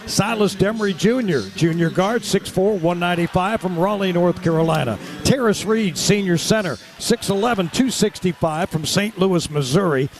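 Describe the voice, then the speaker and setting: A man's voice: sits high, normal speed, few pauses. A man working as a basketball play-by-play announcer on a radio sports broadcast.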